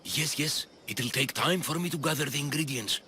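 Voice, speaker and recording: An actor playing an eccentric, obsessive doctor in a video game, fussy and jittery, doting and often repeating himself. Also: male voice, fairly high pitch, normal speed, sharp tone, slight background noise. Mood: fussy, resigned. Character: eccentric obsessive male doctor doting on his human pets. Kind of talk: video game character acting.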